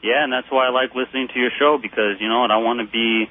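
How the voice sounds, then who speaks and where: A man in his early twenties calling a radio show, chatting casually in a halting, repetitive way. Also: mid-range voice, steady tone. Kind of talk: casual chat. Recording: dull, noisy call-in line on radio.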